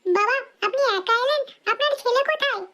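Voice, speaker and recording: A woman's voice: very high-pitched, lively intonation, a little fast, sharp tone, full character voice. A woman voicing an anxious, complaining young village woman with a whiny, fretful manner in a comedy cartoon.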